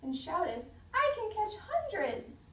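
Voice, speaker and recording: A high-voiced woman reads a children's story aloud like a teacher, with expressive, dramatic delivery. She is recorded at a distance from the mic in an echoey room, with faint noise behind her. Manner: dramatic, pausing to question listeners.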